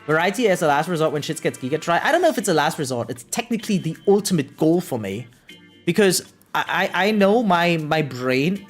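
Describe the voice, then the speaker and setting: A young adult streamer talks casually with live chat in a mid-range voice over background music. The delivery rambles, doubling back on words, with loose swearing and a self-deprecating streak. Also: mid-range voice. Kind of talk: casual chat with viewers, reading out and answering comments.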